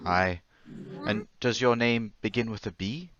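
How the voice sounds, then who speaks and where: A man's voice: lowish voice, unhurried, a bit bright, a little hiss or hum. A man playing a nervous, apologetic middle-aged traveling salesman in a radio drama, stammering and hesitant. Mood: concerned, shy. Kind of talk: old-time radio drama acting. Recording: dull, lo-fi audio.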